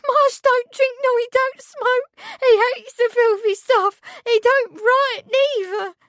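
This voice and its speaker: A woman's voice: high-pitched, a little slow, darkish tone, no room sound, studio quality, voice pushed up. A woman voicing a frightened young housemaid who talks in rustic dialect and pleads through tears. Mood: hysterical. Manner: rustic dialect, sobbing pleas.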